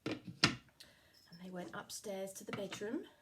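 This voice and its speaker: A woman's voice: mid-range voice, takes it slowly, very noisy. A woman telling a puppet story to young children in an encouraging, sing-song way.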